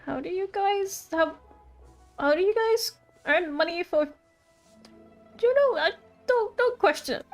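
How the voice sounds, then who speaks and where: Casting: female game streamer, high voice, casual and reactive, faint game music behind. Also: lively intonation, unhurried, long pauses. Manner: chatty, reactive. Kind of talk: casual live game commentary, reading dialogue aloud.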